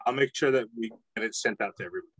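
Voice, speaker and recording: A man teaching an introductory Samoan language lesson online, explaining at a relaxed pace with frequent ums and uhs. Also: fairly low pitch, racing, a crowd in the background. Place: home, online video call.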